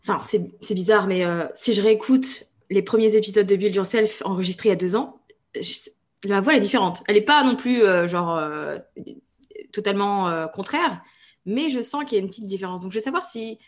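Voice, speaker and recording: A woman hosting a podcast, asking questions in relaxed, casual conversation. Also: mid-range voice, fairly quick. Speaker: podcast host. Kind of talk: casual interview chat.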